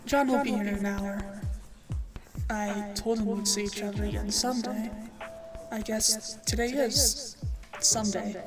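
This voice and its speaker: A woman's voice: medium pitch, steady tone, a little slow, long pauses, lightly acted. A woman playing an earnest, brooding young woman, stubborn and withdrawn, in a dramatic comic dub. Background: music.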